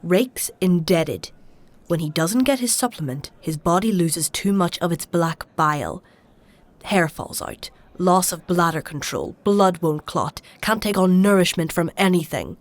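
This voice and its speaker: A woman playing a learned, stubborn alchemist-healer in a dark fantasy audio drama, measured and dryly sardonic as she explains. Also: on the low side, lively intonation. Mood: firm, clinical.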